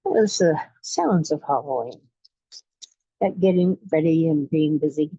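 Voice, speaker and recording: A woman leading a guided relaxation meditation in a soothing, unhurried way, pausing between short phrases. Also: sits low, dark tone, husky, no room sound, dull audio. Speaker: guided imagery facilitator.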